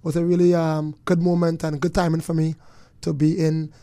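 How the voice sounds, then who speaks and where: A man's voice: on the high side, little pitch movement, moderate pace, dark tone, dry recording, clean studio sound. A man, an interview guest and former player and coach, talking in an earnest, reflective way. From Trinidad and Tobago. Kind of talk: casual interview chat.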